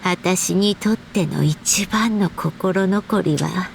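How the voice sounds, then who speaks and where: Wistful, reflective storybook author. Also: female voice, lowish voice, measured pace, creaky, a little hiss or hum. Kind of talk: Japanese game story voice acting.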